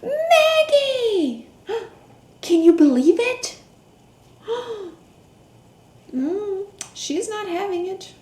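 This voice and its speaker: High-voiced woman reading slowly to children. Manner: expressive, with gasps, hums and hushed asides. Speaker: storyteller reading a picture book to children. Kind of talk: interactive children's read-aloud with questions to young listeners. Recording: roomy echo, faint background noise.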